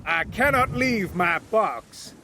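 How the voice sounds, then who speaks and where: A man's voice: sits high, animated, takes it slowly, pushed and bright, slight background noise, voice pushed up, heavily acted. A man doing a frightened, half-mad passenger. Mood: desperate.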